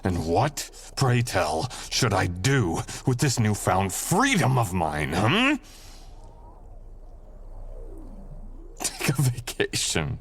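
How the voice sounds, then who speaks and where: Man acting as a slow, low-voiced seductive shadow being. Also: huge pitch swings, exaggerated voice. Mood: menacing, amused.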